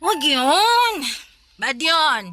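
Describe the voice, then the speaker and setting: An actress playing a tipsy, playful, easygoing best friend in a Filipino radio drama, teasing in a lazy drawl with a Filipino accent. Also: female voice, high voice, big pitch swings, extremely slow, pushed and bright, slight background noise, heavily acted.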